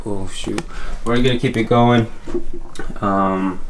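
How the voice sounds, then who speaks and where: Young male vlogger, lowish voice, relaxed and drained, handheld camera mic, noisy home recording. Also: slow pace. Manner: laid-back, tired, joking with friends. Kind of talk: casual vlog narration. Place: house, indoors, with friends. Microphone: handheld camera with mounted mic.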